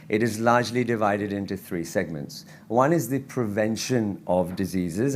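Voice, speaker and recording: A man speaking as a physician at a conference lecture, his voice croaky from travel, earnest and given to restarts and fillers. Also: deep voice. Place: conference hall.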